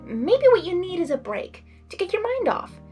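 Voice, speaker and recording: A woman's voice: very high-pitched, very animated, moderate pace, a little mellow, faint music behind, pitched above the performer's own voice. A woman voicing a kindly, bookish young female pony in a storybook, upbeat and encouraging.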